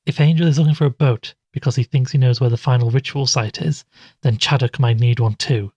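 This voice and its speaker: Actor as male investigator, mid-pitched, dark soft tone, anxious, weary, reflective, audio drama. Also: steady tone, fairly quick, breathy edge, no room sound, dull audio, lightly acted. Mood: urgent, puzzling out. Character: anxious, weary male podcaster drawn into occult mystery. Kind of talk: audio drama narration and dialogue.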